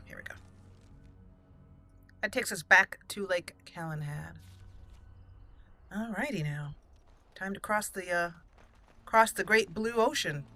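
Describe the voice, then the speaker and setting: A woman hosting a roleplay let's play, thinking aloud in a deliberate way as she comments. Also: lowish voice, pushed and bright. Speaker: gaming channel host.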